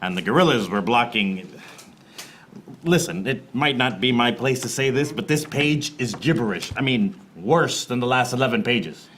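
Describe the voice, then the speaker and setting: Man acting a bright, deadpan hardboiled private eye. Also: mid-range voice, moderate pace, a little hiss or hum, close to an everyday voice. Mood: wry, exasperated. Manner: deadpan, fourth-wall-breaking. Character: wry, beleaguered hardboiled male private eye. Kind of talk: noir radio play narration.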